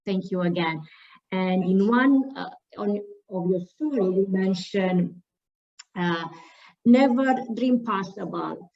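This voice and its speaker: A woman from Romania, a staff member telling her story at an online panel, halting with many fillers and restarts. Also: mid-range voice, creaky, distant mic, dull audio.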